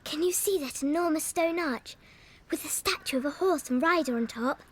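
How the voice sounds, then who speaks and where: A woman's voice: high-pitched, normal speed, lightly acted. An English actress playing a brave, polite, bossy young girl, eager and well-spoken, in a dramatized audiobook. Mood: bossy, excited.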